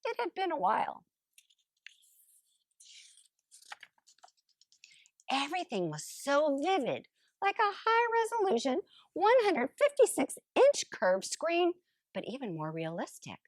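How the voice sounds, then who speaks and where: Woman playing a smug, animated couch potato. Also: sits high, no echo, pitched above the performer's own voice. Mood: wondering, calm. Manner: theatrical, exclamatory, drawn-out emphasis. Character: smug, lazy, screen-loving couch potato, showing off. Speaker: storybook narrator character. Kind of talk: expressive first-person picture book read-aloud.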